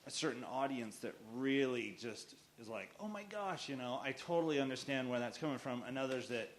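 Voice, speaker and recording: Loud-voiced man asking a rambling question. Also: medium pitch, animated, brisk, a little hiss or hum. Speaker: audience member asking a question.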